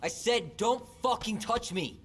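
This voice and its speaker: A man's voice: high voice, a little slow, fairly bright tone, slightly rough, full character voice. An actor playing a wounded, angry young American man in a naturalistic video game drama. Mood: furious. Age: young adult. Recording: noisy game capture.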